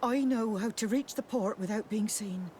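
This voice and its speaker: A woman's voice: fairly high pitch, darkish tone, slight background noise, lightly acted. A woman playing a weary freed prisoner in a game, speaking with heartfelt gratitude. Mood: grateful. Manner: slow, heartfelt. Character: weary, grateful freed female captive.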